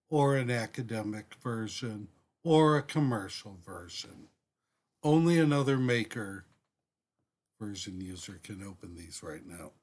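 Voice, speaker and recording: Slow-paced man giving a software tutorial. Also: medium pitch. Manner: deliberate, halting, frequently pausing mid-sentence. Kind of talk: step-by-step screen demonstration, unscripted.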